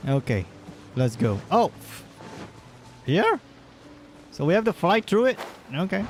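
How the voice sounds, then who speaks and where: A man giving casual, halting gameplay commentary with wry asides, close to the mic. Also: high-pitched, big pitch swings, slight background noise. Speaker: game livestreamer.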